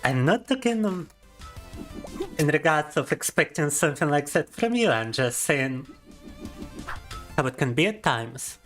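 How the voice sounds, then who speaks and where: A man's voice: on the high side, sing-song, a little slow, long pauses, fairly bright tone, faint music behind. Playful young man. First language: Russian. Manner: playful and teasing, reads chat aloud and laughs often. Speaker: VTuber streamer. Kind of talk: casual livestream chat.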